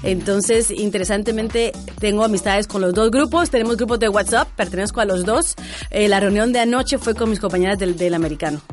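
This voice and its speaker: A woman from Guatemala, a guest entrepreneur, answering interview questions about her life in a relaxed way with many fillers. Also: medium pitch, brisk, soft background music.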